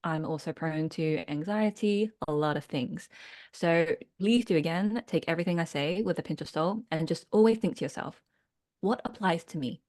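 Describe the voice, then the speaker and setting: A woman with a slightly low voice leads a video-call workshop for business owners. She speaks earnestly and reflectively, pausing to check in with her listeners. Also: darkish tone. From United Kingdom. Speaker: workshop leader and business coach. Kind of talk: online workshop talk.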